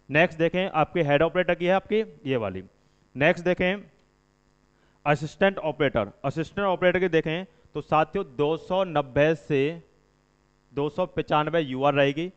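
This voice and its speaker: A man's voice: fairly high pitch, clear, resonant tone. A man explains things informally to students as an exam-coaching presenter, instructing and repeating points for emphasis. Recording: dull lo-fi recording, long reverb.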